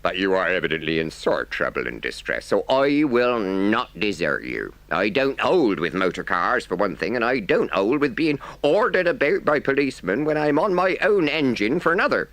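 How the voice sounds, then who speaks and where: Gruff but kind old train driver from a storybook, read by a man, firm and homely, lively pitch. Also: normal speed, hardly any pauses, no room sound. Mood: earnest. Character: kindly gruff older male engine driver.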